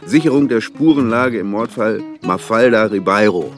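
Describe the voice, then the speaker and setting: An older actor playing an ageing, world-weary police officer in a German radio crime drama, musing colloquially and grumbling wistfully. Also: male voice, lowish voice, normal speed, faint music behind, close to an everyday voice.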